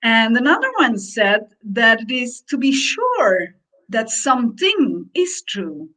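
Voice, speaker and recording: A woman whose first language is Dutch, co-hosting a reflective conversation and searching slowly for her words. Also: on the high side, big pitch swings, bright, forceful tone, poor audio. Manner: slow, searching, thoughtful phrasing. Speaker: podcast co-host.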